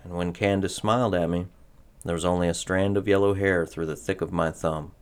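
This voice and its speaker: A man's voice: sits low, fairly even intonation, a little fast, smooth, natural voice. An actor playing an ageless, henpecked husband of a witch in a radio drama, a wry storyteller who gets flustered around his wife. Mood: wry, reminiscing. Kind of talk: old-time radio drama narration and acting.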